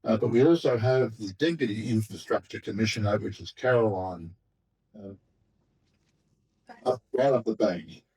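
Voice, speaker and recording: An Australian man chairing a community meeting and introducing the guest speaker, with many pauses and fillers. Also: on the low side, a little mellow, recorded from a distance, poor audio. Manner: halting, filler-heavy.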